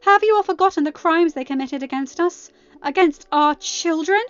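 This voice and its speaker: A woman voicing a haughty, scornful older queen in a storybook reading, sharp and accusing. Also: very high voice, moderate pace, highs cut off, faint music behind, voice pushed up. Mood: scoffing, indignant.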